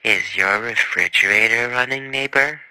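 A man voicing a sly, mischievous puppet neighbor in a cartoon dub, with deliberate, polite phrasing. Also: medium pitch, pushed and bright, poor audio. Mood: sly, calm. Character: sly, slow-talking male puppet neighbor, mischievous prankster.

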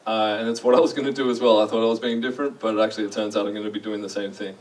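Young Australian guy on a stage, relaxed and self-mocking, a bit distant from the mic in a large hall. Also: lowish voice, rapid-fire. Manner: chatty, self-deprecating, asks the audience questions. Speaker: conference speaker. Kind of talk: casual conference talk. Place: large dark lecture hall.

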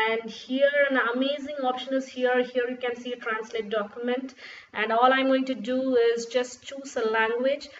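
A woman whose first language is Urdu, walking through a screen-recorded software tutorial step by step with fillers and restarts. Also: sits high. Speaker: tutorial presenter. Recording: dull lo-fi sound with faint noise.

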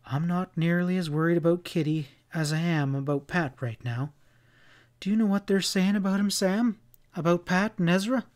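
A man voicing a forceful, urgent young frontier woman in a western storybook, demanding and emphatic. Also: high-pitched, normal speed, pitched above the performer's own voice, lightly acted. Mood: worried.

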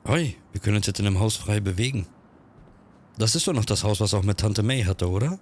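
A man with a cold, chatting quickly through casual gameplay commentary and reading on-screen text aloud. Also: fairly low pitch, expressive, a little hiss or hum. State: has a cold. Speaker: let's-play gamer.

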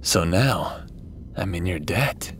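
A man's voice: low voice, animated, takes it slowly, a bit bright, slight background noise, full character voice. A man playing a cocky demon schemer in an audio roleplay drama, casual and teasing with a swaggering manner. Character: cocky, taunting male demon, amused schemer.

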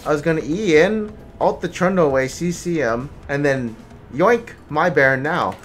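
A man's voice: fairly high pitch, animated, normal speed, soft background music. A man chatting casually and teasingly in slang as he plays an online team game. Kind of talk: casual gaming banter.

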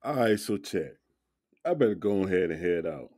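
A man's voice: low voice, expressive, moderate pace, mellow. An actor playing a protective, street-smart young man in an audio drama, speaking in slangy, assertive dialogue. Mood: tender, protective.

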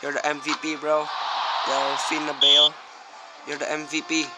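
A young man from Indonesia commentating a mobile soccer game at home, rambling and easily distracted, bursting out loud at big plays. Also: fairly high pitch, monotone, normal speed. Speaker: small gaming YouTuber. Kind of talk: casual mobile gameplay commentary. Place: at home with fireworks outside. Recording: loud background noise, clicks. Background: fireworks bangs from the neighborhood.

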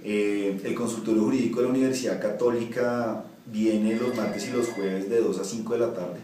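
A man's voice: on the low side, steady tone, moderate pace, noisy background. A man in his thirties, a municipal official, answering interview questions with many restarts and fillers in an office. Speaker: municipal ombudsman. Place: office with window blinds. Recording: echoey office from far off.

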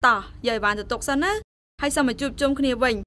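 A woman playing a brisk, helpful young group coordinator in a radio drama. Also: a little high, bright, forceful tone.

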